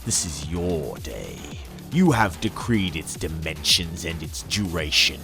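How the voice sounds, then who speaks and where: A deep-voiced man narrates dark science fantasy in a theatrical, menacing style. His pacing is slow, with deliberate pauses, and his pitch moves widely as he leans on key words. Music plays beneath the reading. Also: big pitch swings. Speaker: narrator reading an audiobook excerpt. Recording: music under the voice. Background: dramatic background music.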